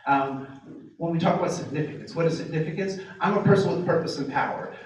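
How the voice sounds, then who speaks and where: A man giving a workshop to a live group, asking the audience many rhetorical questions and slowing down to stress key phrases. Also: on the high side. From United States. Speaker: diversity trainer. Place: training room with tables. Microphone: distant mic picking up the room. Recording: very echoey room, speaker far from mic, faint noise.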